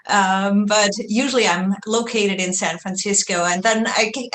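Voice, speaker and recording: A woman's voice: medium pitch, a bit bright. A middle-aged woman from Austria with an Austrian accent, a webinar guest telling her career story in a chatty way, with lots of fillers and light self-deprecation. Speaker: guest, research executive. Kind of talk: conversational interview answer.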